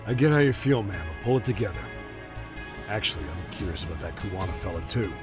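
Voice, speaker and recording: A gruff middle-aged cop speaks in a low register over a clear musical score. He is jaded and blunt, with a foul mouth, in the manner of a dubbed crime game cutscene. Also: lively intonation, quick pace, music in the background. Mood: probing. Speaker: police detective character. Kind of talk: English game dub cutscene acting.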